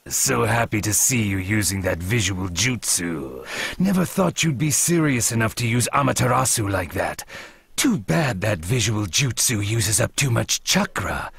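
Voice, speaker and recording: A man voicing a menacing, battle-hungry shark-man ninja in an English anime dub, gravelly and husky, with a taunting drawl. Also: fairly low pitch, big pitch swings, unhurried, a bit bright, no echo, exaggerated voice.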